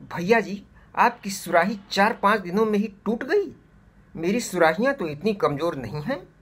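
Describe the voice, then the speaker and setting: A man voicing a wise old village pot seller, respectful but gently admonishing, in a storybook tale. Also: sits high, expressive, normal speed, husky, voice pushed up, full character voice. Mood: puzzled.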